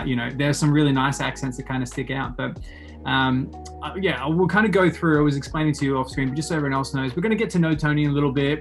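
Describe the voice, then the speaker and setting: A man in his late twenties with an Australian accent, hosting a casual interview in an enthusiastic, informal, rambling way. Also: medium pitch. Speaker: podcast host interviewing a guest.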